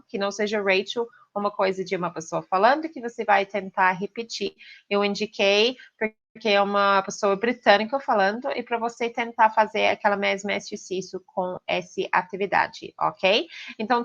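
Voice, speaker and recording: A woman's voice: mid-range voice, a bit bright. Woman teaching, checking in with "ok?". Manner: direct, addressing students, frequent "ok?" checks. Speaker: English teacher. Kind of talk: online pronunciation lesson. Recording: slightly dull lo-fi recording.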